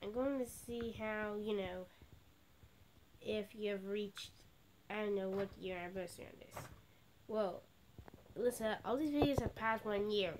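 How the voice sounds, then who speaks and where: A young girl chatting with a friend during a game video, rambling with lots of pauses and self-corrections. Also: medium pitch, slight background noise, rough recording. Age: child. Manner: rambling, many fillers and restarts. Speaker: friend co-hosting. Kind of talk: casual chat.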